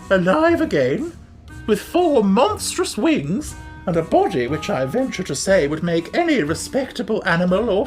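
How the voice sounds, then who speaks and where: A man voicing a huge flying creature with a squeaky voice, speaking in formal, mournful phrasing in an audiobook. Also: heavily acted. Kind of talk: audiobook character voice.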